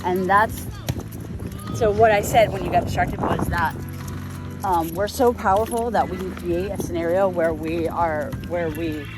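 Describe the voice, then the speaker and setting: A woman's voice: on the low side, fairly even intonation, a little slow. Woman, casual reflective talker, rambling and laughter-prone, music in the background. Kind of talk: casual philosophical chat.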